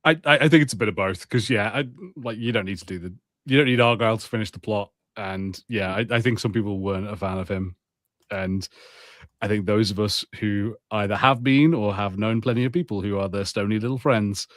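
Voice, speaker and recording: A man's voice: fairly low pitch, fairly quick, no room sound, clean studio sound. A man co-hosting a podcast, chatting casually about films and shows with wry, jokey asides. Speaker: podcast co-host. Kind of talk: casual film banter.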